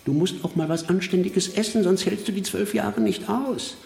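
A prison authority in cavernous reverb. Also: male voice, high-pitched, normal speed, gravelly, darkish tone, faint music behind. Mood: matter-of-fact warning. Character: stern prison authority intoning sentences, rules and advice. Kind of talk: theatrical radio play. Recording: echoey hall with long reverb.

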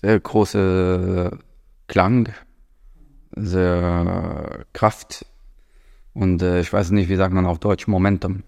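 A Palestinian musician, answering interview questions in halting German and searching for words. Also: male voice, sits low, little pitch movement, gravelly, darkish tone, dry recording, studio quality. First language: Arabic. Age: 30s. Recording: music playing behind.

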